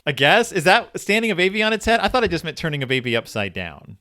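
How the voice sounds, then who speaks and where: A man's voice: fairly high pitch, sing-song, fast, pushed and bright, dry recording, clean studio sound. A man hosting a podcast, casually and enthusiastically retelling and discussing a story, sometimes reading passages aloud. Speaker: podcast host.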